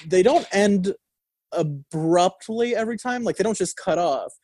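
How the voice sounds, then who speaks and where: A man's voice: high-pitched, lively intonation, measured pace, darkish tone. A young man co-hosting a college radio show, chatting casually about a record with many fillers and restarts. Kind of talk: casual music review chat. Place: radio studio. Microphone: studio mic.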